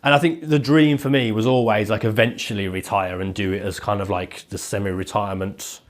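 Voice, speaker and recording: A man's voice: lowish voice, steady tone, brisk. A man in his thirties with a head cold, sounding nasal as he tells his story in casual, rambling chat full of "like". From England. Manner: casual, rambling, heavy use of "like". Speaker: podcast guest, leather maker.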